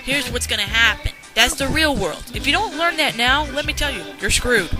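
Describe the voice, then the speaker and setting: A young woman giving blunt, unscripted critical commentary in an animated, ranting way. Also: high-pitched, moderate pace. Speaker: commentary video host. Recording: music playing behind the voice.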